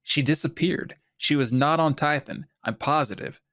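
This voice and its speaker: A man's voice: fairly high pitch, normal speed, a bit bright, dry recording, clean studio sound, lightly acted. A man playing an earnest, troubled doctor in a science fiction audio drama, speaking with urgent, pleading insistence. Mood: troubled, insistent.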